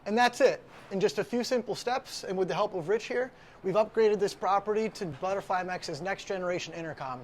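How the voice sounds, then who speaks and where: A man giving scripted instructional narration as the presenter of an installation tutorial, explaining things clearly step by step. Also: sits high, brisk.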